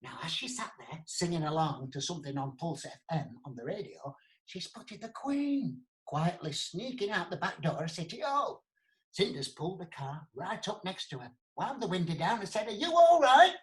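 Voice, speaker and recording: A man's voice: on the high side, expressive, moderate pace, low-quality recording. A man telling a comic pantomime tale, dramatic and speaking straight to the listener. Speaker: storyteller. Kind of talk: theatrical comic pantomime storytelling.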